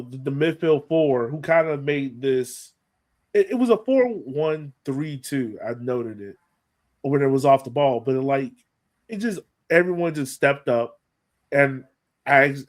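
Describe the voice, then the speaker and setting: A man hosting a sports podcast, talking through a match in an animated, casual way and often checking his points with a quick "right?". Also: medium pitch. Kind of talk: casual match analysis chat.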